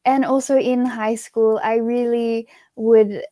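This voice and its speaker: A woman's voice: fairly high pitch, flat delivery, slow, dark tone, no echo. Give me a young woman from Australia, a pageant titleholder answering interview questions in a chatty, earnest way with lots of fillers.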